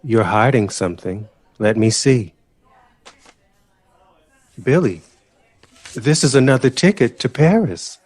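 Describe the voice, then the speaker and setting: A man's voice: mid-range voice, expressive, slow, long pauses, a little mellow. An actor playing a composer's devoted but exasperated male partner in a 1960s audio drama. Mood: suspicious, prodding.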